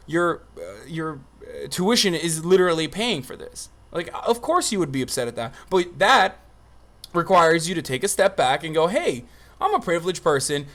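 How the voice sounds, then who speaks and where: A man's voice: a little high, fairly bright tone. A man doing unscripted livestream commentary, emphatic and argumentative, with casual swearing. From Turkey. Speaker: streamer and political commentator.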